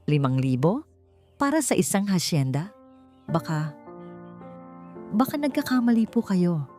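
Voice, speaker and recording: Earnest young mother, voiced by a woman, lively pitch. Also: unhurried, soft background music. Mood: astonished, hesitant. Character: gentle, brave young widowed mother, anxious but determined. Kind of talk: storybook character voice.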